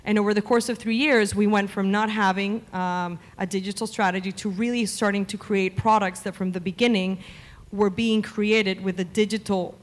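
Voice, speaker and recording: Woman talking in a reverberant hall. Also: mid-range voice. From Venezuela. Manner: fluent, with frequent "um" fillers. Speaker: media executive guest. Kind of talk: onstage interview. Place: conference stage before a seated audience. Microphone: handheld mic.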